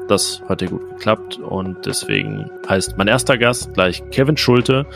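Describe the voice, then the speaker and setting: Male podcast host, low gravelly voice, casual hesitant delivery, faint music underneath. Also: wildly swooping pitch, unhurried. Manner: conversational, filler-heavy. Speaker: podcast host, sports reporter. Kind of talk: casual football podcast chat.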